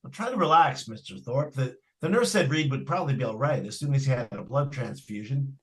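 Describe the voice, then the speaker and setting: A man's voice: medium pitch, expressive, fairly quick, a bit bright. A man playing an eager, talkative property salesman in old-time radio suspense style, with a folksy, persuasive manner. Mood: soothing, uneasy. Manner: folksy, persuasive, colloquial phrasing. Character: eager, talkative salesman trying to unload a haunted house.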